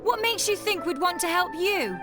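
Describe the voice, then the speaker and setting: A woman playing a feisty, sharp-tongued young mage in a video game, confident, sassy and direct. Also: very high-pitched, animated, normal speed, over music. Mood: indignant. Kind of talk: animated video game voice acting.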